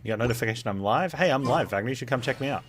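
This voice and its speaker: A man's voice: mid-range voice, lively intonation, racing, slight background noise. A man giving casual, offhand live commentary as he streams a video game, with joking asides. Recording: clear game music behind voice. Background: game music.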